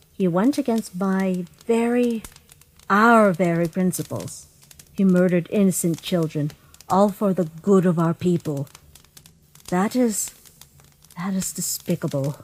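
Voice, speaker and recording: A woman voicing a gentle, motherly monster queen in a comic dub, speaking with formal, measured politeness. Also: on the low side, low-quality recording. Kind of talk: comic dub character acting. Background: music.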